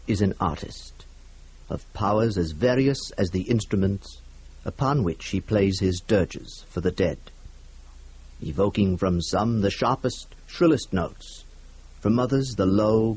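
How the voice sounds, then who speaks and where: A husky-voiced man introduces a radio drama in a formal, literary manner. His delivery is slow and solemn, a theatrical recitation in a fairly low register. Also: darkish tone.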